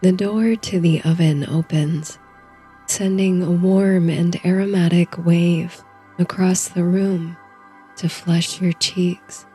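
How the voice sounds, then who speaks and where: A woman narrating a guided sleep story in a soothing, hushed, unhurried way. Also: fairly low pitch, steady tone, husky, soft background music.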